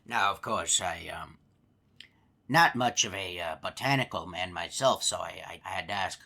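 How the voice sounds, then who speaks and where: An easygoing hippo animatronic, voiced by a man, deep yet bright and forward in tone. He rambles through a folksy yarn, peppered with uh and you know. A fan-game character monologue. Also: moderate pace, vocal fry, full character voice. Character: chatty male hippo animatronic, easygoing storyteller.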